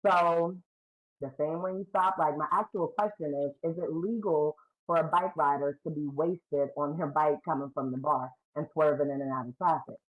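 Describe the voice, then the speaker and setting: A woman's voice: steady tone, normal speed, rough recording. A middle-aged woman, talking bluntly and at length during public comment on a video call. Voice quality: deep voice. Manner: blunt, talkative, emphatic. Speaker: community member asking questions. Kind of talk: public comment at a community meeting.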